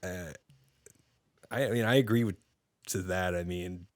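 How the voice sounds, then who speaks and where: A male podcast host with a fairly low voice, asking loose, rambling questions and often restarting as he goes. He is on a video call, and his sound is dry and close with no room around it. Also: no room sound. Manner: rambling, halting, full of restarts and "like"s. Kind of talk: casual interview chat.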